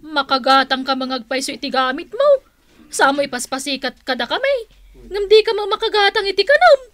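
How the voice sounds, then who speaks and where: A practical young office co-worker in a radio drama. Her voice is high and sharp, with the nagging edge of someone fed up and ready to scold. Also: big pitch swings, brisk. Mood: exasperated, scolding. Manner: nagging, exasperated.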